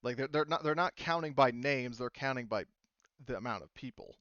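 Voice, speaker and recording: Clear-voiced man running a tabletop game. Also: medium pitch, brisk, a bit bright, dry recording, dull audio. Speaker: game master. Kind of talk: casual tabletop game narration.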